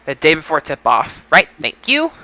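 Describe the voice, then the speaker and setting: Male podcast host, slightly high and forward-bright voice, casual, halting delivery with repeated starts. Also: huge pitch swings, creaky, a little hiss or hum. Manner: halting, repeats and restarts phrases. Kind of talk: casual podcast sign-off talk.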